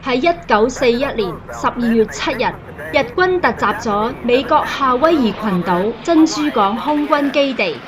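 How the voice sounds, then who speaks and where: A woman's voice: fairly high pitch, lively intonation, measured pace, a crowd in the background, rough recording. A Cantonese-accented woman reading scripted narration for a radio drama. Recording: clear background ambience noise.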